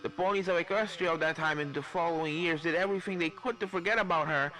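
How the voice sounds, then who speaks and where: A man voicing a scholarly professor who explains things gravely and emphatically in a fan fiction reading. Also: mid-range voice, fast talker, a bit bright, soft background music, close to an everyday voice, rough recording.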